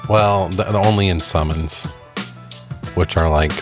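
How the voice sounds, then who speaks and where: Deep-voiced man chatting over music. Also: measured pace. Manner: informal, profane. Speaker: podcast co-host. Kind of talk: casual film review banter and trivia. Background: music bed.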